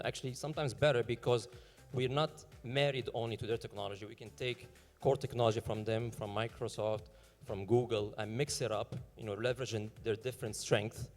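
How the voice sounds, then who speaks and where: A man pitching his startup and answering questions on stage, talking fast with many fillers and restarts. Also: in a large hall.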